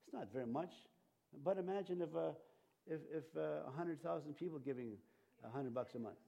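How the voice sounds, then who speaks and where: A man's voice: fairly high pitch, fairly quick, vocal fry, warm tone, highs cut off. A man preaching as a pastor, whose first language was Cree and Ojibwe, talking to his church in a rambling way with many fillers and restarts. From Canada. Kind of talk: sermon.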